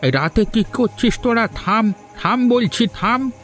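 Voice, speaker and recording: A man's voice: extremely high pitch, expressive, a bit bright, faint music behind, full character voice. A man voicing a righteous, frail old village uncle in a cartoon, admonishing in manner. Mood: scolding. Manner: slow, admonishing. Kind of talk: cartoon voice acting.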